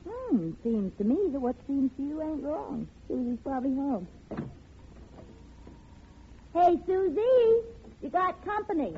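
A streetwise, wisecracking young woman of vintage radio drama. Her voice sits high and her manner is slangy and breezy. The old recording is muffled with light noise. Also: very animated, unhurried, pauses often. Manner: slangy, joking, sassy. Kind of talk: 1950 radio drama acting.

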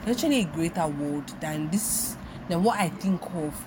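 A woman's voice: deep voice, expressive, moderate pace, noisy background. A young Nigerian woman with a Nigerian accent, rambling reflectively on her solo podcast and often repeating herself. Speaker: podcast host. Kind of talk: casual solo monologue.

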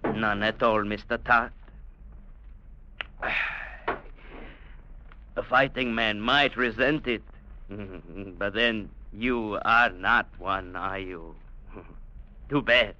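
An actor playing a domineering, sarcastic colonial trading captain in an old radio drama. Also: male voice, on the low side, slow pace, bright, forceful tone, heavily acted. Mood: mocking. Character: sneering, bullying colonial trading agent captain, a man. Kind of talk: 1940s-50s radio drama acting. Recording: muffled, hissy old radio broadcast.

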